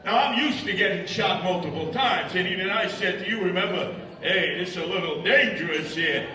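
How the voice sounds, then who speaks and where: A man gives a rally speech in a very high, bright and forceful voice. He hammers his points with repetition and declamatory emphasis. The sound echoes heavily, picked up from far off. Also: fairly quick. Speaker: rally speaker and radio host. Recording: echoey public address from far off.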